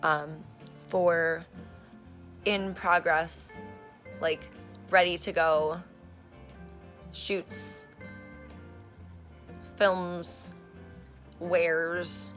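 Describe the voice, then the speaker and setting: A woman vlogging at home on her phone, her voice hoarse and rough, chatting in a meandering way with lots of fillers and casual swearing. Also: fairly low pitch, level tone, drawn-out words, pauses often, a bit bright, soft background music. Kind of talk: casual rambling vlog chat. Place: at home, in a room being turned into an office. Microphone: phone camera recording herself.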